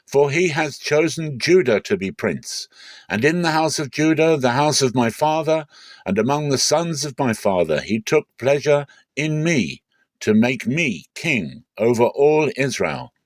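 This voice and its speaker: A man's voice: medium pitch, very animated, a little slow, no echo. A man playing an aging, fatherly king of Israel, solemn and commanding, in a declamatory scripture reading. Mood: proud. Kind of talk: dramatic scripture storytelling.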